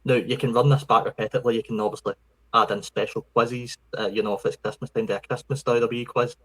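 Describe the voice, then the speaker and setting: A man's voice: medium pitch, steady tone, fast, a bit bright, low-quality recording. A man with a Scottish accent hosting a casual podcast chat, friendly and rambling, often restarting his words. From Scotland. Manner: friendly, rambling, repeats words when starting. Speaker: podcast host.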